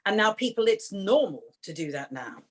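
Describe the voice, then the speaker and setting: A woman's voice: mid-range voice, sing-song, normal speed. A middle-aged woman chatting casually on a video call. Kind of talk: casual chat. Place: room at home.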